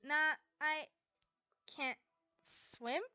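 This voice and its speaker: A woman's voice: high-pitched, fairly even intonation, very slow, sharp tone, no echo, highs cut off, voice pushed up, full character voice. A woman voicing a sporty teenage girl, heroine of an anime story, offhand and playfully competitive.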